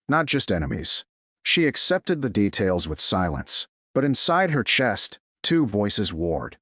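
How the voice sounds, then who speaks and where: A man reading scripted dramatic fiction as a narrator, in a measured, solemn way. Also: fairly low pitch, fairly bright tone, no room sound, studio quality. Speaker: story narrator.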